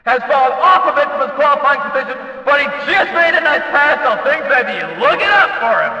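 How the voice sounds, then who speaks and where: A race announcer for a racing video game, with a very high, piercingly bright voice. He delivers punchy, opinionated stock-car commentary with excitable emphasis. The sound is heavily echoed, like a distant public-address system. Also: dull audio, heavily acted. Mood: excited.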